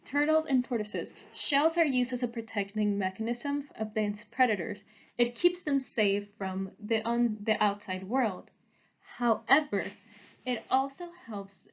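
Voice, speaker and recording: A woman's voice: a little high, lo-fi sound. Earnest young woman presenting art. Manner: earnest, halting, with small restarts. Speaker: art student presenting her work. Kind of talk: prepared presentation.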